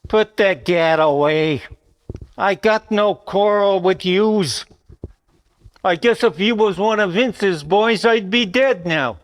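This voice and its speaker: A man playing a blunt, menacing 1940s gangster thug in a radio noir parody, using rough tough-guy slang. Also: sits high, takes it slowly. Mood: threatening, curt.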